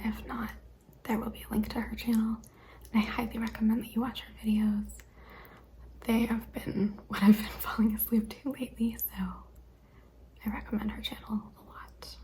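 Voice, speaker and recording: A young adult woman hosting an ASMR questionnaire video, speaking mostly in a hesitant whisper with frequent ums and soft laughs. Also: a little high, slight background noise. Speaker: ASMR channel host.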